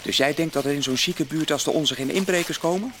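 A man's voice: a little high, fast talker, close to an everyday voice. An actor playing a practical, confident teenage boy detective in a radio play, teasing and colloquial. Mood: matter-of-fact. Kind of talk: Dutch radio play acting. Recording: faintly noisy old radio play recording.

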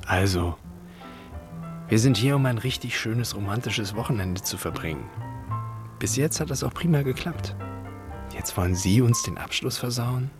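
An actor in a radio drama playing a hotel guest on a weekend away, understated and familiar in manner. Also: male voice, medium pitch, lively intonation, moderate pace, pauses often, natural voice. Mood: insistent. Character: male weekend hotel guest, affectionate partner, quietly insistent. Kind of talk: German radio drama acting. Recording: loud music behind the voice.

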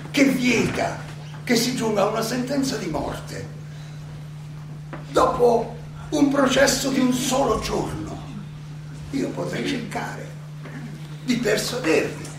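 An actor playing an aging Athenian philosopher who defends himself in a theatrical monologue, ironic and full of rhetorical questions and halting repetitions. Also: male voice, very high voice, exaggerated voice. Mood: insistent, declamatory. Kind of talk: theatrical stage monologue, oratory. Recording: roomy, distant, noisy live stage recording.

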